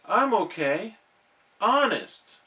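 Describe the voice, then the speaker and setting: A man voicing an excitable, sickly cartoon sea sponge in a dramatic, whiny, pleading manner. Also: sits high, very slow, pitched above the performer's own voice, exaggerated voice. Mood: strained cheerful.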